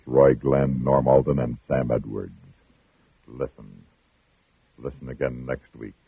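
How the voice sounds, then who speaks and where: A man's voice: rumbling low, slightly rough, no room sound. A man reading scripted credits as a formal, solemn announcer in vintage radio style. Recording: muffled, tinny old radio with faint music.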